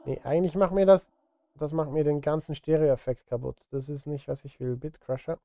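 A man's voice: on the high side, fairly even intonation, a little fast, mellow, no echo. A man in his thirties chatting casually to livestream viewers while he works, self-deprecating and direct. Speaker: music streamer and composer. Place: indoor home studio.

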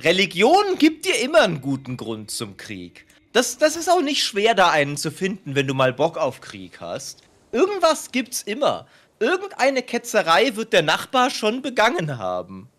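A man's voice: sits high, huge pitch swings, moderate pace, fairly bright tone. A man chatting jokingly as he plays a game, wry and playfully grandiose. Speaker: gaming streamer, co-player. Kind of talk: casual joking gameplay chat. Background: game music.

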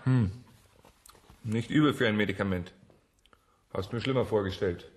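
An actor playing a male test subject in a radio drama, expressive and halting. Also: lowish voice, background noise, lightly acted. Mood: casual, wry. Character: flippant male test subject, turned helpless victim. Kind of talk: German radio drama acting.

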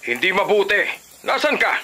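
A man's voice: high-pitched, big pitch swings, normal speed, bright, forceful tone, heavily acted. An actor playing a stern, scolding middle-aged father in a Filipino radio drama. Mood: angry.

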